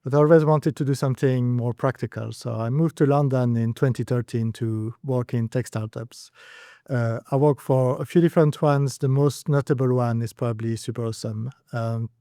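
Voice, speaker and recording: A man from Belgium speaks with a mellow, darker tone, laying out his background in a calm, measured way. The recording is close and dry. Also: medium pitch, no echo. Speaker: co-founder, podcast guest. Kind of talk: casual conversational podcast chat.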